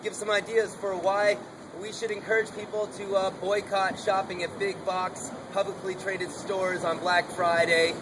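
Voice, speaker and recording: A man's voice: sits high, little pitch movement, moderate pace. Nervous, stammering man at an outdoor rally. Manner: nervous, stammering, restarts often. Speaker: protester at an open-mic speech. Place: outdoor protest gathering. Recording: noisy outdoor recording.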